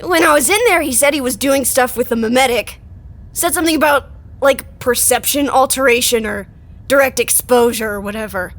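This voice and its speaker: A woman's voice: sits high, fairly quick, fairly bright tone. A woman in an audio drama playing a brash, unworried colleague who talks bluntly and casually.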